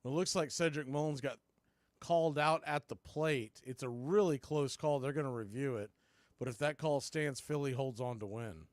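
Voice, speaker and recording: A man calling a baseball game live as a play-by-play announcer, mixing clipped pitch calls with folksy, casual asides. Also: moderate pace, no echo. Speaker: baseball play-by-play announcer for a fan radio stream.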